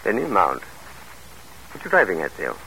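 A man's voice: sits low, huge pitch swings, moderate pace, vocal fry, pushed and bright, full character voice, poor audio. An actor playing an ambitious, commanding middle-aged politician father in an old-time radio drama, with emphatic theatrical delivery. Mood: shrewd, musing. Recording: old radio broadcast recording.

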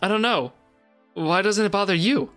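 A man's voice: sits high, normal speed, fairly bright tone, soft background music, pitched above the performer's own voice. A man playing an obsessed, distressed interview subject in a dramatic reading, agitated and repetitive, with swearing.

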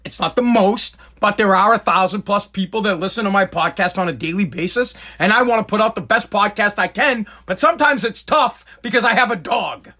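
A man rants on his own live podcast, blunt and profane, repeating himself as he goes. His voice sits high for a man. It has a bright, forceful edge. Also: brisk, hardly any pauses. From Boston area, New England. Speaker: podcast host. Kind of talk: live-streamed podcast rant.

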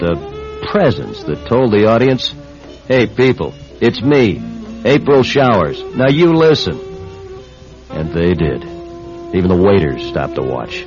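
A man's voice: sits low, exaggerated voice. An actor playing an easygoing young newspaperman sleuth who narrates a radio mystery in a wry, casual way. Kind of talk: radio mystery drama acting with first-person narration. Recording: dull radio recording with music behind.

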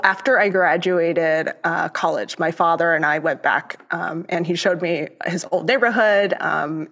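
A young woman answers interview questions in a slightly low voice. She speaks thoughtfully, often pausing with ums. Her first language is Cantonese, and she grew up in Florida after being born in Hong Kong. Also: fairly bright tone. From born in Hong Kong, raised in Florida. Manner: thoughtful, with many ums and pauses. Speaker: lay youth minister, guest. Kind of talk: interview conversation.

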